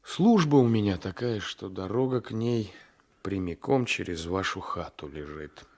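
Creaky-voiced, sly gendarme. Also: male voice, low voice, expressive, measured pace, a little mellow, heavily acted. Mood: coaxing, confiding. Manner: insinuating, patronising, repeats phrases. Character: domineering, sly gendarme coaxing a weaker man. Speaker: radio drama actor. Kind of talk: Soviet-era 1980s radio play acting.

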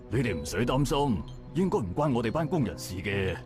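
A man playing a calm, obliging dock worker in a visual novel, with a Hong Kong Cantonese accent. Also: mid-range voice, normal speed, music in the background, close to an everyday voice. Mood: reassuring.

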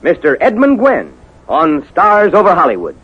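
Animated American man, vintage radio announcer. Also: high-pitched, normal speed, very noisy. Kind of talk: 1950s sponsor announcing and scripted narration. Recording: muffled 1950s radio recording.

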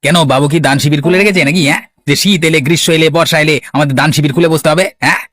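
Man acting fast-talking, scheming cartoon henchman. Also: on the high side, fairly even intonation, fairly bright tone, no echo. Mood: scornful. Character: scheming, sneering assistant to a rich villager.

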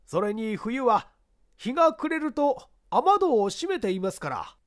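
A plain-spoken witness played by a male reader in a mystery story. The voice is strikingly high and very animated in pitch. The manner is polite, helpful and explanatory. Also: measured pace, full character voice. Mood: matter-of-fact. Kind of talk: dramatized mystery story reading.

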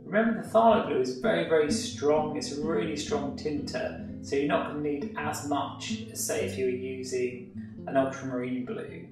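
An English man talks through a painting demo in a gravelly, slightly high voice. He is set back from the mic in a very echoey room. Soft guitar music plays faintly underneath. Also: moderate pace, recorded from a distance. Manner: relaxed explanatory, thinking aloud while painting. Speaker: art tutor. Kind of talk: painting tutorial demonstration.

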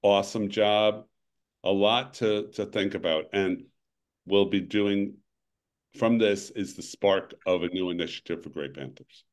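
An older man speaks from his home over a video call and hosts the session. His voice sits low, and his delivery is halting, full of restarts and a weary edge. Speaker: webinar host. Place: home room, indoors.

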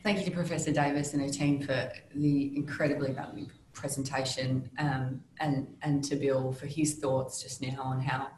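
A woman in her thirties with an Australian accent, giving measured, earnest prepared remarks over a video call from a small office. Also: extremely low pitch, darkish tone, roomy sound, a little hiss or hum, rough recording. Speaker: panelist from a legal service. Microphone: laptop video call.

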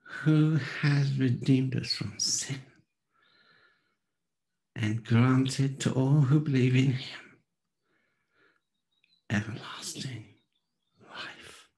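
A man in his sixties giving a solemn, theatrical dramatic Bible reading with hushed pauses, close on a studio mic. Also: medium pitch, rough recording. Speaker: scripture reader. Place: small room at home. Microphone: close studio condenser with pop filter.

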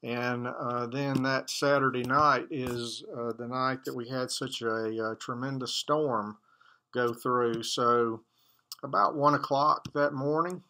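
An older man in his sixties, a church elder, speaks to a desk webcam in a small office. His tone is bright and forward, at an ordinary middle pitch. He talks gently and haltingly, filling pauses with many "uh"s as he shares a devotional and closes with a prayer. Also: fairly even intonation. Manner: gentle, hesitant, many fillers. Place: office with wall map, indoors.

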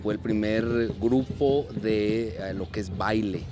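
A man in his thirties from Mexico, a dance and theater group director, speaking earnestly and at a measured pace in an outdoor interview, with frequent fillers and restarts. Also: lowish voice. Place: outdoors at a street parade. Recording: outdoor clip with music behind. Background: festive music.

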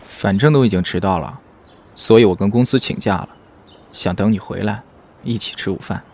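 A man acting in an audio drama as a subdued, lovelorn man, soft and hesitant. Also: lowish voice, normal speed, vocal fry. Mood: subdued, hopeful. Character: subdued, lovelorn man lingering near his ex. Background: background music.

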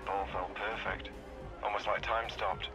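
An actor playing a stoic veteran footballer in a game, speaking tersely and reflectively in an interview. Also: male voice, lowish voice, level tone, unhurried, close to an everyday voice. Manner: terse, philosophical. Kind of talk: cinematic game acting. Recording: dull radio-like sound, music behind.

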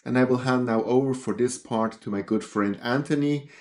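A man in his fifties with a Swiss German accent, talking through a hobby lesson at a mid pitch. He speaks in a chatty, easygoing way into a headset mic in a small home office. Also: normal speed. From Switzerland. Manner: chatty, gestures while talking. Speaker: astrophotography channel host. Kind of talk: casual educational talk.

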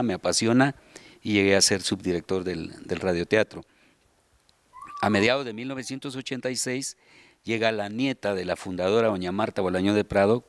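A middle-aged man with a Guatemalan accent, telling a history conversationally as an interview guest, often pausing to search for words. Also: on the low side, no echo. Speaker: interview guest, children's radio theatre deputy director. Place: large tiled hall indoors, group seated behind. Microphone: interviewer's handheld microphone.

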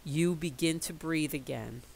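A middle-aged woman reading a picture book aloud in a slow, expressive storytelling voice. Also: low voice, vocal fry, slight background noise, low-quality recording.

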